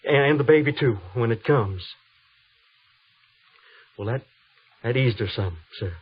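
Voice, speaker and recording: Anxious husky trooper, old radio western. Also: male voice, medium pitch, animated, measured pace. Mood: anxious, halting. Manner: hesitant, pleading, folksy. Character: anxious, humble young male cavalry trooper, expectant father. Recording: muffled old radio broadcast.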